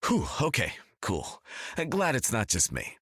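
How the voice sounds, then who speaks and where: A wisecracking archer who talks casually and quips a lot. His pitch jumps all over the place, and the recording is dry and close. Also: wildly swooping pitch, measured pace, fairly bright tone, husky, dry recording, heavily acted. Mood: casual, quippy. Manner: casual and quippy.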